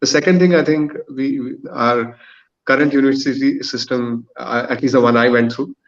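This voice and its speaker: A man's voice: mid-range voice, fairly even intonation, moderate pace. A man in his thirties speaks on dull, lo-fi audio as a podcast guest. He explains in a thoughtful, structured way, often hesitating as he builds his answer. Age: 30s. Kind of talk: thoughtful panel discussion answer.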